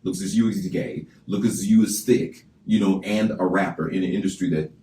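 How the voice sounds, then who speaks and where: A man's voice: sits low, fairly even intonation, moderate pace, warm tone, roomy sound, slight background noise. A man hosting a radio interview, with a big voice and an emphatic, conversational way of talking, in a radio studio. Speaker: radio host.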